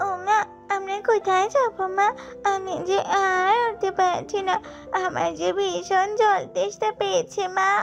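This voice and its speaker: A woman's voice: very high voice, little pitch movement, unhurried, gravelly, pitched above the performer's own voice, full character voice. A woman voicing a tiny, weary child bird in a cartoon, whining and tearful, with music behind. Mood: tearful, exhausted. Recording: slightly dull audio with music behind.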